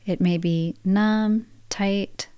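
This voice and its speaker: A woman guiding a yoga nidra relaxation practice, speaking in slow, soft, soothing phrases. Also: mid-range voice, steady tone, clean tone, no echo, studio quality. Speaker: meditation guide.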